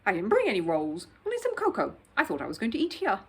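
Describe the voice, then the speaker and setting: A woman's voice: on the high side, huge pitch swings, quick pace, a bit bright, breathy edge, heavily acted. A woman voicing a polite, earnest young male bear in a children's storybook. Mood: surprised.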